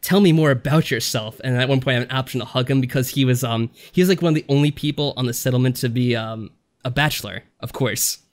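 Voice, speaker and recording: A man's voice: medium pitch, fast talker. A man talking casually as a podcast co-host, rambling through anecdotes and acting out little asides. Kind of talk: casual chat reviewing games.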